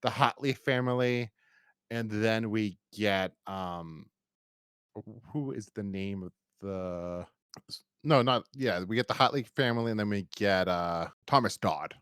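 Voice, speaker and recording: A man's voice: on the low side, unhurried, fairly bright tone, smooth, no room sound, studio quality. A man hosting a podcast, talking casually in a rambling, opinionated and emphatic way as he reviews. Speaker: podcast host.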